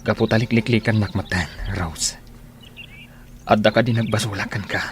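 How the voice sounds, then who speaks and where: An actor playing a gentle, lovelorn man with a sincere, pleading delivery in a radio drama. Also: lowish voice, fairly even intonation, normal speed, slight background noise, lightly acted. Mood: persistent. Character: earnest, lovelorn man Ferdinand, gentle and persistent.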